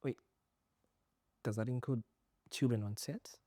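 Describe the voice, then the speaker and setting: A man's voice: medium pitch, fairly even intonation, a little slow, mellow, no echo, studio quality. Rambling man telling stories. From Zimbabwe. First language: a Zimbabwean language. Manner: rambling, hesitant storyteller, amused. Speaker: guest actor. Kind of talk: casual podcast chat.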